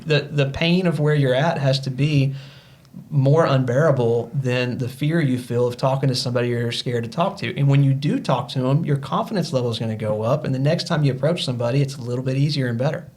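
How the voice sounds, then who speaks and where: An earnest man in his thirties talks as a podcast guest. His pitch sits in the middle, his manner is motivational and loose, with plenty of "you know" fillers. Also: a little fast, darkish tone. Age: 30s. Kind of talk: casual podcast conversation.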